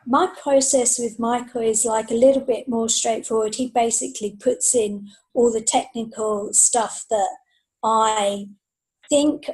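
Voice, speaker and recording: High, slightly husky woman's voice. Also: a little mellow, low-quality recording. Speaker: author, podcast panel guest. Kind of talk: casual unscripted chat. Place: remote group video call.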